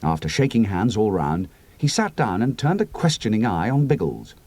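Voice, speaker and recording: A man narrating an adventure audiobook in a measured, expressive storytelling style, close to the mic. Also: fairly low pitch, heavy background noise. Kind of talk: scripted narration.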